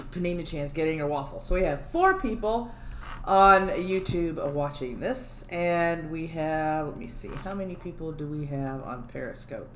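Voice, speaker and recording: A woman hosting a livestream on her own, chatting casually and joking about her own technical glitches. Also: low voice. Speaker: livestream host. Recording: echoey room with faint background hum.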